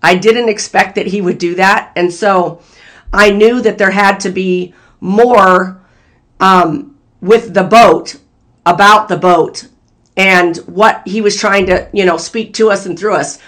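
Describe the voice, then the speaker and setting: A channel host with a hoarse, failing voice, earnestly sharing a prophetic word in casual spoken style and talking straight to the viewers. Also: mid-range voice, steady tone, slow, fairly bright tone. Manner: earnest, talks straight to viewers as "you guys". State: hoarse, losing voice.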